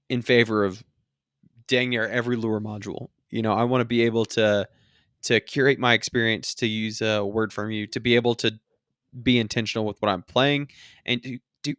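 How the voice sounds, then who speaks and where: A man's voice: mid-range voice, fairly bright tone, no room sound, clean studio sound. A man co-hosting a casual gaming podcast, explaining his opinions in an informal way. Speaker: podcast co-host. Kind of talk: casual two-person podcast discussion of a mobile game.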